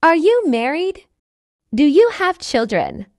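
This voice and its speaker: A woman's voice: high voice, huge pitch swings, slow, darkish tone, no room sound, studio quality. A woman reading a simple English dialogue for learners, carefully enunciated and repeating each line. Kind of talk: slow scripted dialogue reading.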